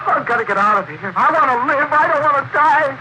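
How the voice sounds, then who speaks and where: Terrified young murderer awaiting execution, pleading, high strained voice, vintage radio. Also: male voice, animated, fairly quick. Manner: pleading, desperate, breaks into frantic shouting. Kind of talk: 1940s radio acting. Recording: noisy old radio broadcast.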